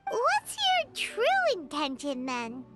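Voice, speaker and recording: A woman voicing a tiny, childlike, excitable fairy companion in a video game, with a squeaky voice and blurting manner. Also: takes it slowly, full character voice. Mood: curious, candid. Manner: speaks of self in third person, blurting. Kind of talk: cartoonish video game acting. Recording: clear music under the voice.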